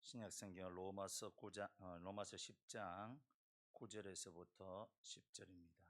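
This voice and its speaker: A male pastor, hoarse from a throat cold, slowly reading scripture and introducing a guest speaker. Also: sits low, steady tone, a bit bright. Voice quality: gravelly, husky. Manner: slow, deliberate scripture reading. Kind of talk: church service introduction.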